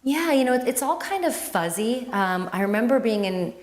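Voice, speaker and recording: A woman's voice: a little high, expressive, moderate pace. A woman from Lebanon with a Lebanese accent, raised speaking Arabic, a guest telling her story in a reflective, casual way with fillers and restarts. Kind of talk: casual conversational interview.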